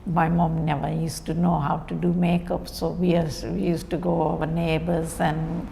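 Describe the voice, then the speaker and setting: Woman born in India, grew up in Kenya, gravelly and low, flat slow delivery, long room reverb. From India, raised in Kenya. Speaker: interviewee. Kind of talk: personal storytelling. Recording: reverberant room with faint noise.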